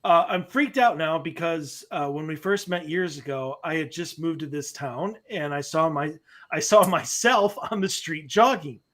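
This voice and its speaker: Higher-voiced playful man on a video call. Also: a bit bright. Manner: playful, quick to laugh, drifts into a sing-song voice. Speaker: podcast co-host. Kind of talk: casual chat, reading a listener story aloud. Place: room at home. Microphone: webcam call from home.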